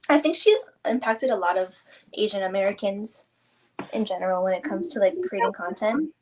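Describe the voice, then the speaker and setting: A woman's voice: medium pitch, expressive, moderate pace, darkish tone, a little hiss or hum, rough recording. A woman hosting a casual interview, chatty and affirming, with plenty of enthusiasm. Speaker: interview host.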